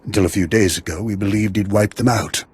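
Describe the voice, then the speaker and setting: An actor playing a dignified, earnest leader in a video game, husky and gravely formal. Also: male voice, fairly low pitch, fairly even intonation, fast, a little mellow. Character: earnest, dignified male Republic leader giving briefings. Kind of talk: video game cutscene acting.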